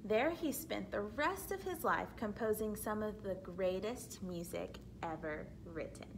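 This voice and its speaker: A woman reading a children's book aloud expressively, pausing to explain things simply like a teacher. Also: mid-range voice, expressive, creaky, noisy background. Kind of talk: read-aloud storytelling with explanatory asides for children.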